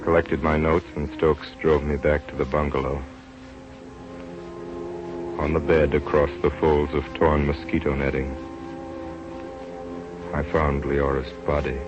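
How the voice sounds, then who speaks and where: Very deep-voiced devoted doctor. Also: male voice, level tone, slow, pauses often. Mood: grieving, subdued. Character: earnest, devoted male doctor running a field experiment. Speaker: actor and narrator in a radio drama. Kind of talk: old-time American radio drama acting. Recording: muffled vintage radio recording with music.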